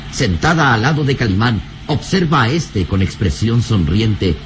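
A man's voice: medium pitch, lightly acted. A man narrating an old radio serial from a script, in a florid, solemn, theatrical manner. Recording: noisy old radio recording.

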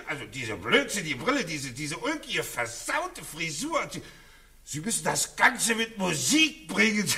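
An actor playing a pompous, patronizing middle-aged colonel with theatrical effusiveness in a 1940s German radio drama. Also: male voice, sits high, bright, forceful tone, heavily acted. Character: pompous, jovially condescending colonel, father of a household.

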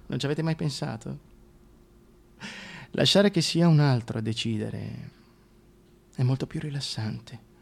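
A man's voice: medium pitch, a little slow, creaky, long pauses. An actor playing a scheming, sadistic dictator king in a theatrical radio monologue, crude, mocking and brooding, addressing the listener directly. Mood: smug musing.

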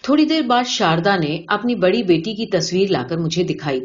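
Female audiobook narrator, mid-pitched voice, scripted literary storytelling, slightly dull lo-fi sound. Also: animated. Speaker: audiobook reader of a short story.

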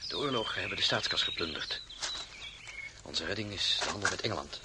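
An actor playing a young count and military officer in a radio drama, polite, formal and well-spoken. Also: male voice, sits low, brisk, natural voice. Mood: earnest. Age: young adult. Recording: noisy outdoor ambience behind dialogue.

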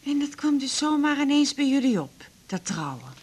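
An actress playing a wistful, plain-spoken woman confidante in a naturalistic Dutch radio drama, husky and questioning. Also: high voice, big pitch swings, normal speed, a little mellow. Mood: puzzled.

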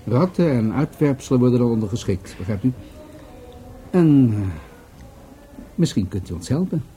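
An actor playing an unruffled police commissioner in a radio drama, dryly ironic and measured as he questions people. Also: mid-range voice, big pitch swings, mellow, a little hiss or hum, lightly acted. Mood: wry, unruffled.

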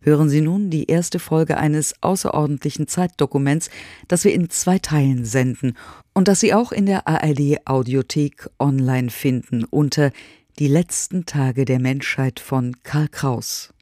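A woman presenting on radio in measured, factual scripted narration. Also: sits low, warm tone, no room sound, studio quality.

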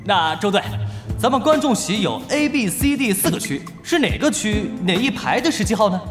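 A flustered young event mc, really bouncy delivery, big reverb, polite and coaxing, radio drama. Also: male voice, high voice, normal speed. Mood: upbeat announcing. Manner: polite, coaxing, animated, hesitant. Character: flustered young male event host at a gaming match. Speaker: event host. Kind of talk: live stage announcing in a radio drama. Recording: echoey arena reverb over loud music.

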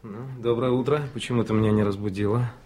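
An actor playing a tired, gentle man soothing a young woman in a radio drama. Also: fairly low pitch, steady tone, fast, natural voice. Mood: tender concern. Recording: 1980s radio play, faint music.

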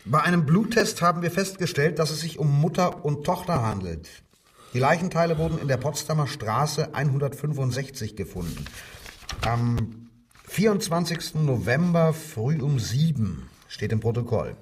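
Husky, needling police detective, slightly distant. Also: male voice, fairly high pitch, sing-song, normal speed. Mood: methodical, pressing. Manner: insistent, sardonic, interrogating. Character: male wartime German police detective, needling, coldly insistent. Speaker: actor. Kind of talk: radio crime drama acting.

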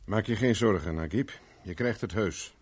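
An actor playing a brusque, persistent private investigator in a 1970s radio drama, speaking in a brisk, clipped way. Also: male voice, on the low side, very noisy, natural voice. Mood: reassuring. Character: brusque, persistent male private investigator, cool under pressure.

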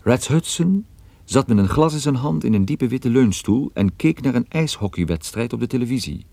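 A man's voice: mid-range voice, animated, normal speed, gravelly, warm tone, dry recording. An actor playing a worldly private detective, narrating his own case in a wry, understated way for a radio drama. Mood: wry, suspenseful. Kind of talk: scripted first-person radio drama narration.

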